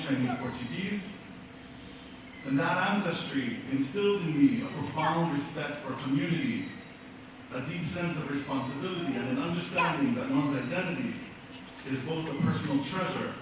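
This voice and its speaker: An earnest middle-aged man delivers a measured speech in a school auditorium, his fairly high voice carried from a distance. The hall rings with heavy echo and a steady hum of room noise. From American Samoa. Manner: measured, earnest storytelling with pauses. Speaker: keynote speaker. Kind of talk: prepared speech. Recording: echoey hall from far off.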